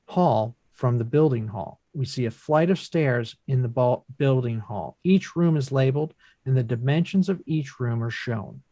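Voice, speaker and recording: A man's voice: medium pitch, moderate pace, few pauses, mellow, dry recording, highs cut off. Man reading stage directions, dry sound. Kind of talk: scripted narration at a play table read.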